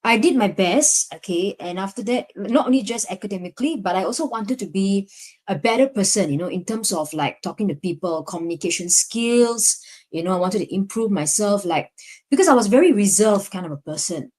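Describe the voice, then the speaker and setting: A woman's voice: medium pitch, lively intonation, fairly quick, darkish tone. A young woman from Singapore with a Singaporean English accent, a lecturer reflecting on her past in a casual conversation. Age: young adult. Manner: reflective, frequent "you know" fillers. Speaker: lecturer, guest.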